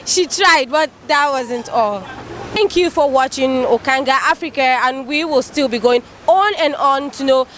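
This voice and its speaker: A woman hosting a street interview outdoors, upbeat and quick to thank her guests. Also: high-pitched, a bit bright. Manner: upbeat, repeats thanks often. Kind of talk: street vox-pop interview. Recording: street crowd noise behind.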